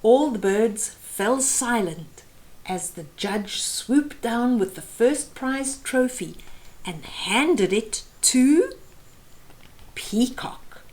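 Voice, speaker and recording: A woman in her fifties reading a children's picture book aloud expressively, with deliberate phrasing for young listeners. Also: a little high. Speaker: storyteller host. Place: small room at home, indoors.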